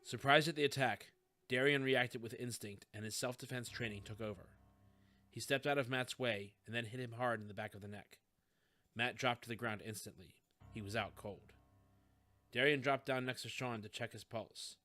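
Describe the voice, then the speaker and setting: A man's voice: medium pitch, moderate pace, pushed and bright, faint music behind. A man reading scripted fiction as an audiobook narrator.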